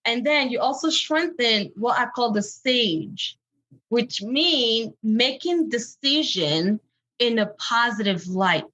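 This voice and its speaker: A woman's voice: fairly high pitch, animated, takes it slowly, bright, forceful tone, rough recording. A woman from Benin, French-speaking by birth, with a West African French accent, speaking earnestly as a coaching guest on a podcast interview. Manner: earnest, encouraging, light laughs.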